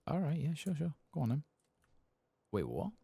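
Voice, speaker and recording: A soft-spoken man quietly commentating a video game in ASMR style, murmuring as if to himself. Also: a little high, wildly swooping pitch, normal speed, vocal fry, slight background noise. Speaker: ASMR gaming streamer.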